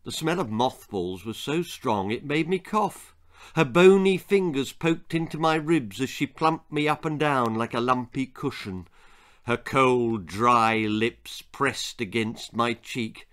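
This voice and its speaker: A man in his sixties reading a children's story aloud in a theatrical, suspenseful, deliberate narration. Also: medium pitch, animated, fairly bright tone. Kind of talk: dramatic storytelling, scripted narration. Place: small book-lined room indoors.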